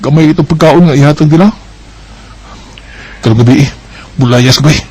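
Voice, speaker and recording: A man acts a young Bisaya labourer in a radio drama. His voice sits fairly high and has a husky, rough edge. He speaks plainly and wearily, as someone who works hard for little. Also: a little fast, a little mellow, rough recording. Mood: weary, complaining. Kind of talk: amateur radio drama dialogue.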